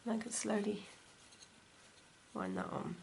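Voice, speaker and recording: Woman, croaky post-cold voice, gravelly edge, relaxed talk-through craft tutorial, faint dishwasher hum behind. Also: medium pitch, measured pace. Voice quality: slightly gravelly. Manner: chatty, talks while stitching. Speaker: textile crafts teacher. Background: dishwasher running.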